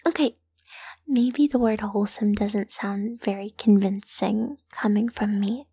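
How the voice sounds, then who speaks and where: Woman acting a breathy, lovesick yandere kidnapper. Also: medium pitch, fairly even intonation, dark tone, dry recording, clean studio sound. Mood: tender, sheepish. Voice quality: breathy, often dropping into whispers. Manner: doting, coaxing, slow and lingering. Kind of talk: intimate scripted roleplay audio, voice acting.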